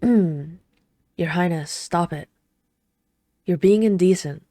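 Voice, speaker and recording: Woman as a low-voiced, restrained dutiful knight. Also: mellow, dry recording. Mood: stern, scolding. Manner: dry, restrained, formal, measured. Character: reserved, dutiful female knight, dryly scolding royal lover. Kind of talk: ASMR roleplay acting.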